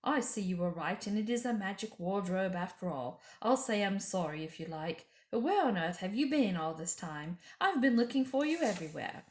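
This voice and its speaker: A woman's voice: mid-range voice, lively intonation, normal speed, hardly any pauses, a little mellow, close to an everyday voice. A woman voicing a sulky, sly schoolboy in a storybook, speaking in a curt, know-it-all way. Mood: snappish. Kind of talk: storybook character reading. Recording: echoey room.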